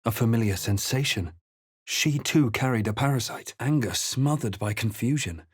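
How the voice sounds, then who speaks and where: An actor playing a grave, watchful male adventurer in a video game, narrating in a measured, dramatic way. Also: medium pitch, husky, dry recording, studio quality, close to an everyday voice.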